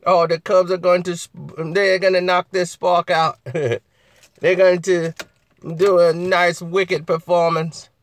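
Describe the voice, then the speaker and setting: A man with a husky voice hosting a casual live chat, laughing often and answering viewers. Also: high-pitched, flat delivery, slow pace, dry recording.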